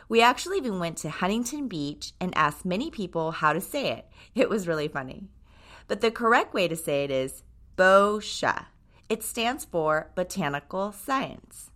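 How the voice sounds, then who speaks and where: A woman's voice: fairly low pitch, sharp tone. A woman in her thirties from Japan, hosting a podcast and reading a scripted monologue in an upbeat, enthusiastic way. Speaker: podcast host, brand co-creator.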